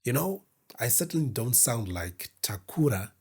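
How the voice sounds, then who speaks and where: A man from Zimbabwe with a deep voice, talking to camera in a casual, meandering, self-deprecating way as host of his own show. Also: very animated, moderate pace, slightly rough. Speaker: podcast host. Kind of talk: casual solo talk to camera. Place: indoors in front of a camera.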